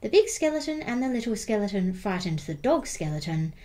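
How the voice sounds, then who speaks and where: Expressive woman storyteller, very lively intonation. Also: mid-range voice. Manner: expressive, deliberate emphasis on repeated words. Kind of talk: children's picture book read-aloud.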